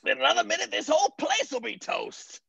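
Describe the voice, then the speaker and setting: A performer voicing a manic, cackling male clown supervillain with a raspy, creaky voice in a table read of an animated film script. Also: high voice, fairly quick, dry recording, muffled recording, full character voice. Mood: frantic, angry.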